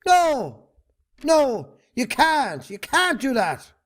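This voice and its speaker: A man's voice: very high voice, huge pitch swings, drawn-out words, fairly bright tone, full character voice. An Irish man acting a bitter, bullying middle-aged farmer in a stage drama, blunt and combative. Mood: outraged, threatening.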